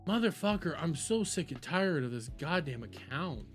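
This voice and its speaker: A man giving a casual, exasperated political rant as a streamer, halting and repeating words, sometimes swearing. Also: fairly high pitch, animated, faint music behind. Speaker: streamer, host.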